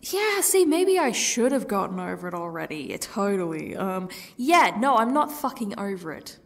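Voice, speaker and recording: A woman playing a defiant captive who taunts her torturer with bitter sarcasm in an ASMR roleplay, husky and often dropping toward a whisper, in halting, mocking phrases. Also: medium pitch, very animated. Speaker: audio roleplay performer. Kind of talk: ASMR roleplay monologue acting.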